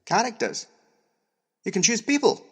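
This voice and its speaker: A man playing a restless, plain-spoken young Highlander with a Scottish accent in an audio drama. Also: wildly swooping pitch, moderate pace, breathy edge, heavily acted. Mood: eager. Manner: colloquial, grumbling. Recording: audible background noise.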